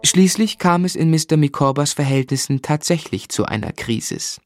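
An actor playing a grown man who narrates his own boyhood in a measured, reflective, literary way in a German radio drama. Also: fairly high pitch, lively intonation, music in the background, close to an everyday voice. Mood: matter-of-fact.

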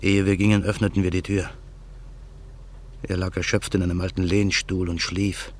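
A brooding narrator in a radio play, played by a man, recalling his past in a reflective, measured way. His voice is very deep, with a faint hiss behind it. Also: flat delivery, lightly acted.

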